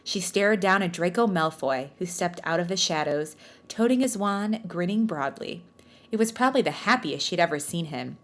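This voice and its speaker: A woman with a slightly low voice hosts a comedy podcast, reading stories aloud. She moves between narration and bantering, self-deprecating asides in a casual way. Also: lively intonation, normal speed. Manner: jokey, self-mocking, bantering. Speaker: podcast host reading fan fiction aloud. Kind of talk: storybook-like read-aloud with casual joking asides.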